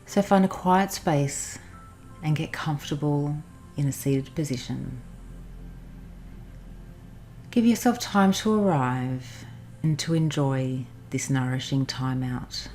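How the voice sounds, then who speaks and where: A woman narrating a guided meditation in a soothing, instructional manner with pauses. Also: sits low, animated. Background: soft background music.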